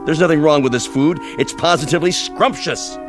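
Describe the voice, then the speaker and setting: A man's voice: on the high side, brisk, lightly acted. An actor playing a cocky, mocking army major in a radio drama, sarcastic and theatrical in his taunts. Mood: sneering. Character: cocky, mocking military major, a swaggering intruder. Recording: radio drama with music underneath.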